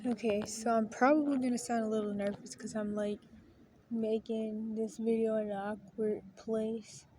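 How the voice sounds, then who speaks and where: Hushed, rambling young woman vlogger. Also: on the high side, flat delivery, normal speed, slight background noise. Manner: rambling, self-correcting, quiet and hushed at times. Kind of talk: casual storytelling to camera.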